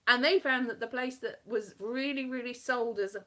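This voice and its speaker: A woman's voice: on the high side, moderate pace, bright, forceful tone. A Welsh woman with a light West Country-tinged accent, a comedian chatting casually on a live stream from her home kitchen in a rambling, self-mocking way. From South Wales. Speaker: comedian performing at a festival.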